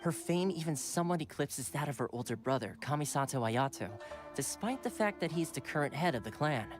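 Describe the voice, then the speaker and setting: A man playing a smooth, animated young retainer. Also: fairly high pitch, a little fast, close to an everyday voice. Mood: warm, explanatory. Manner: polite, playful, formal phrasing. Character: friendly, suave young male retainer, courteous and smooth-talking. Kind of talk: video game voice acting. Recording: game dialogue over background music.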